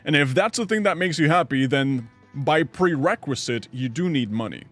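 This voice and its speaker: A man's voice: fairly high pitch, lively intonation, normal speed, a bit bright, soft background music. A young adult man streaming from home, rambling casually through chat questions and answering his viewers. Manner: rambling, chatty, reads chat aloud and answers.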